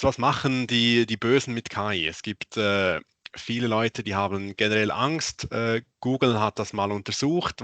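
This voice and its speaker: A man's voice: on the low side, bright, forceful tone, no room sound. A man working as a technical analyst gives a matter-of-fact German presentation, with many filler "uhs". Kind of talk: presentation in an online event.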